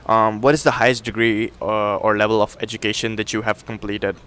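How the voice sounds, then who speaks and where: Young man briskly asking survey questions. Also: lowish voice, level tone, fairly bright tone, clear, resonant tone. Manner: quick, breezy, repeats "cool". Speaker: student interviewer. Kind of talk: casual survey interview.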